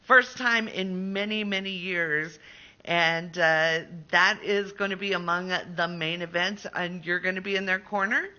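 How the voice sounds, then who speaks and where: A woman's voice: fairly low pitch, steady tone, measured pace, pushed and bright, muffled recording. A middle-aged woman hosting a radio show, chatting casually as she promotes an event in an interview. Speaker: radio host.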